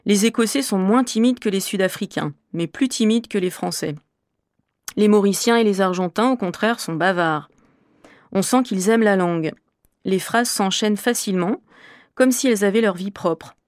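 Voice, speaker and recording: A woman from Normandy, a French teacher, answering interview questions in a measured, clear, explanatory way. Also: medium pitch, dry recording, clean studio sound. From Normandy, France.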